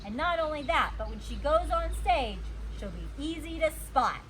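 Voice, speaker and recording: A woman's voice: high-pitched, very animated, normal speed, fairly bright tone, slight background noise, pitched above the performer's own voice, poor audio. A woman voicing a cheerful, reassuring grandfather in a storybook, hearty and emphatic. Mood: playful.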